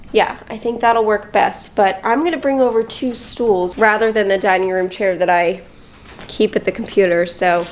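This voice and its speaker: Brief: upbeat woman vlogger at her desk at home, stuffy and slightly nasal, chatty and relaxed. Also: mid-range voice, a little hiss or hum. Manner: chatty, upbeat, talks to camera. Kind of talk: casual vlog chat. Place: home office.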